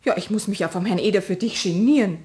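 A woman's voice: medium pitch, dark tone, lightly acted. A woman playing a strict, conscientious mother in a children's radio play, with a Bavarian accent and a firm manner. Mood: exasperated, scolding. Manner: scolding, brisk, firm.

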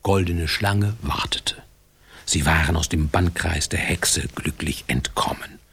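An actor playing a wry, playful storyteller who narrates a radio fairy tale with little asides. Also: male voice, very deep voice, unhurried, creaky, a bit bright, husky, heavily acted. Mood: storytelling. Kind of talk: scripted radio drama narration.

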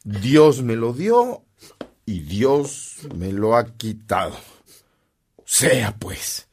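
Slow, solemn Mexican priest. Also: male voice, mid-range voice, big pitch swings, a little mellow, husky, exaggerated voice. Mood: grave, resigned. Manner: solemn, deliberate, heartfelt. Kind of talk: radio drama acting.

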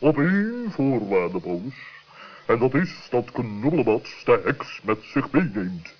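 Husky, grumbling old-fashioned owl played by a man. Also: mid-range voice, very animated, measured pace. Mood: ponderous. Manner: hemming, ponderous, grumbling. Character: Oehoeboeroe, grave, disapproving male owl. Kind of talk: 1960s children's radio play acting. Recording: dull old radio recording.

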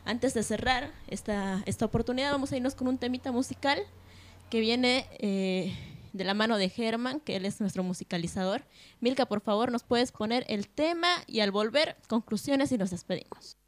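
A woman presenting a radio programme, part reading news and part speaking off the cuff, with hesitant, halting phrasing. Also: fairly high pitch.

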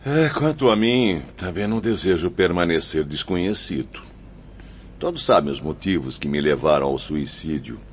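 An actor with a Brazilian accent playing a florid, self-pitying gambler's spirit in a radio soap, with dramatic phrasing. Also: male voice, low-pitched, expressive, normal speed, slight background noise. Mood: rueful, dramatic. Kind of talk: radio soap opera acting. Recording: dull lo-fi audio, faint music.

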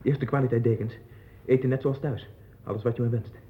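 A man's voice: medium pitch, steady tone, a little fast, close to an everyday voice. An actor playing an agitated, childlike man in an institution in a 1960s radio drama, insistent and abrupt. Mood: boastful. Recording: muffled, phone-like 1960s radio recording.

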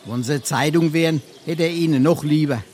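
Schauspieler als großspuriger hessischer Schnorrer, höhere Stimme. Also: male voice, a little high, brisk, natural voice. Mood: glib, boastful. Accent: Hessian (Darmstadt). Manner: theatrical, glib, flattering. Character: wily, boastful, debt-dodging Biedermeier drinker and scrounger. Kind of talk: dialect stage comedy acting.

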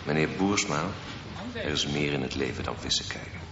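Very deep-voiced, persuasive adviser. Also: male voice, lively intonation, fairly quick. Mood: earnest, persuasive. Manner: formal, insistent, reasoning. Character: earnest, persuasive male adviser pressing anxious parents. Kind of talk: radio drama acting. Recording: muffled, echoey, very noisy, distant microphone.

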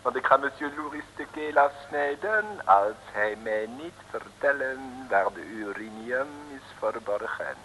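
An actor playing a theatrical, menacing French barber with a French accent and broken Dutch in an old radio comedy-thriller. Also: male voice, mid-range voice, lively intonation, measured pace, hardly any pauses, sharp tone. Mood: coolly menacing. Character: flamboyant, menacing French barber, secretly an agent. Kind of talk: 1960s radio serial acting. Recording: reverberant, noisy old radio recording.

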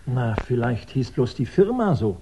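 A man's voice: mid-range voice, very animated, normal speed, mellow, a little hiss or hum. An actor playing a cheeky, self-mocking male police sergeant in a 1960s German radio crime play. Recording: dull 1960s radio recording.

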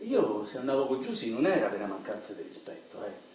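Guy acting boastful lonely roman ex robber, middle aged, really lively high voice, radio play, super echoey and far from mic. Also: quick pace, lightly acted. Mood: tender, sad. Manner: animated streetwise storytelling, swinging from bragging to self-pity. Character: boastful, lonely ex-robber nearing fifty, coke-loving braggart. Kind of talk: theatrical radio drama monologue. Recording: echoey stage hall, distant mic, faint noise.

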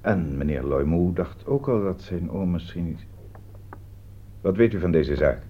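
Deep-voiced sardonic police commissioner. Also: male voice, lively intonation. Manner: dry, insinuating, deliberately hesitant questioning. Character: sardonic, probing male police detective commissioner. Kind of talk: radio drama acting. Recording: muffled, noisy old radio play recording.

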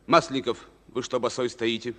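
Casting: male actor as middle-aged wartime captain, forceful and bright, solemn, clipped orders, old radio play in a reverberant hall. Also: mid-range voice, little pitch movement, brisk, lightly acted. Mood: commanding. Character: stern, resolute Red Army captain of about forty. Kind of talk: Soviet wartime radio drama acting.

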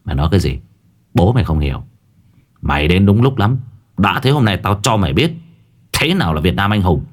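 A man voicing a young hero who shouts urgently in alarm and defiance. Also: sits low, very animated, normal speed. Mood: defiant.

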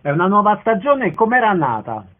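A man's voice: sits high, very animated, brisk, narrow, tinny audio. A man hosting a radio interview, introducing his guest and asking questions.

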